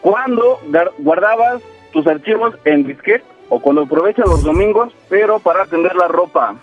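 Man, playful caller, tinny phone sound, high voice. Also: expressive, normal speed, soft background music. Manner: teasing and playful.

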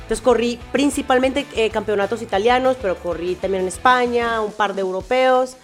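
A woman's voice: a little high, moderate pace, faint music behind. A woman from Medellín, Colombia, with a Colombian accent, explaining things enthusiastically as an interview guest, with frequent fillers. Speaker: car tester, guest. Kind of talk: casual interview chat.

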